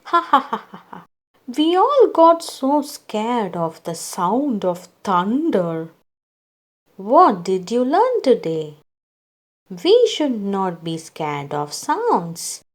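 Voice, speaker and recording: Female read-aloud storyteller for small children. Very unhurried pacing, animated intonation, scripted character dialogue. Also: mid-range voice. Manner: slow, deliberate delivery for young children.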